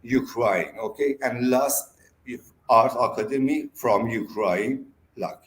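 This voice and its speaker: A man from Iran with an Iranian accent, a native Persian speaker giving interview answers in halting, broken English. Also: mid-range voice. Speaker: interview guest. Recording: roomy echo.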